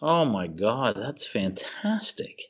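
A man's voice: mid-range voice, sing-song, takes it slowly, husky, lo-fi sound. A man co-hosting a casual podcast, talking in an effusive, slangy way and repeating himself for emphasis. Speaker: podcast co-host. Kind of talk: casual chat about video games and movies.

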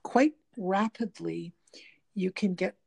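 A woman with a gravelly, slightly nasal voice talks in a loose, rambling way, full of restarts and "you know"s. Her pitch sits in the middle of the range. It is casual, unscripted conversation. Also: slow, mellow. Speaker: guest talking about diet and the body.

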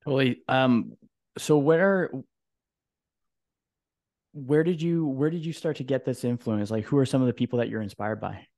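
A man's voice: mid-range voice, moderate pace, long pauses, a little mellow, no echo. Man interviewing, dry close sound. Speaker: podcast interviewer, host. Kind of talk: conversational interview.

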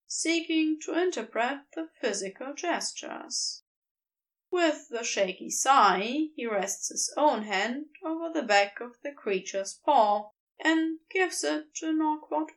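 A woman narrates fan fiction in an unhurried, expressive reading. Her voice sits high and moves lively through each sentence. She takes her time over the words. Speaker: podfic reader.

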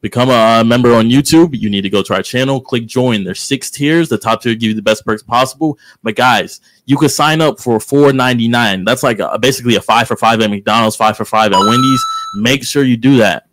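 A man's voice: medium pitch, brisk, a bit bright, no room sound, rough recording. A man co-hosting a podcast and reading the channel plugs in a hyped, slangy way. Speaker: podcast co-host. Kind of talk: promotional hype read.

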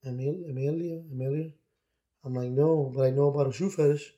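A man's voice: medium pitch, steady tone, brisk, mellow, muffled recording. A man co-hosting a podcast, talking in a rambling, casual way with frequent restarts. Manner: rambling, with many restarts and put-on quoted voices. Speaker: podcast co-host. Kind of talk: casual chat. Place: Miami.

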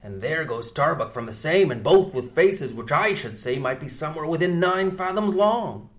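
A man reading an audiobook, voicing a jovial, talkative second mate in a brisk, loud, chattering manner. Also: fairly high pitch, animated, natural voice.

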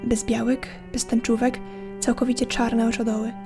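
A woman reads a scripted horror tale in a measured, hushed manner. Her voice sits a little high and stays very even in pitch. Soft music plays behind her narration. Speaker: horror podcast host and narrator. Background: faint music.

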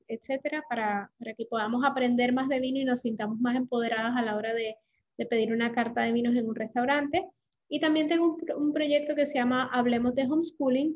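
A woman in her forties with a light Puerto Rican accent, a guest coach talking earnestly in a casual interview, often pausing on fillers. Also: a little high, highs cut off. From Puerto Rico. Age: 40s. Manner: earnest, fluent, with many fillers.

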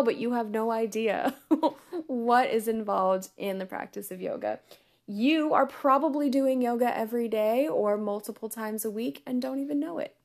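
Hoarse, chatty woman hosting a podcast. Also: a little high. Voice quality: chatty, upbeat, laughs often. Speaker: podcast host. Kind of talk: casual solo podcast chat.

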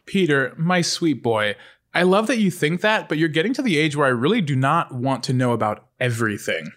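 Casting: man as upbeat suburban mom, raised pitch, lively intonation, affectionate and coaxing, comedic read. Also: a little fast. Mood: fond, coaxing. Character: cheerful, chatty, affectionate mother of two teenagers. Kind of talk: comedic script table read.

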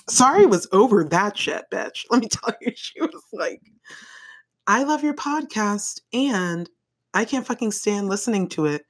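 A woman with a deep, raspy voice hosting her own podcast, talking casually, laughing often and swearing freely. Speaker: podcast host. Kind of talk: casual solo podcast monologue. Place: home bedroom. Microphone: microphone on a stand, held in hand.

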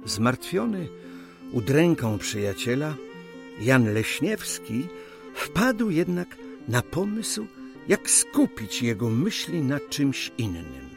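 A man's voice: medium pitch, big pitch swings, slow pace, gravelly. A man narrating a historical radio drama in a scripted, storytelling style. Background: violin music.

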